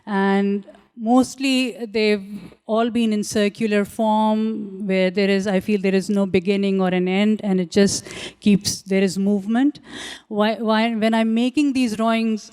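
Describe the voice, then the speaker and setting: A woman artist whose first language is Urdu, speaking reflectively on a panel in a large auditorium, pausing often with fillers and restarting sentences. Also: mid-range voice, flat delivery, a little mellow, clean tone. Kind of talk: panel talk about her art.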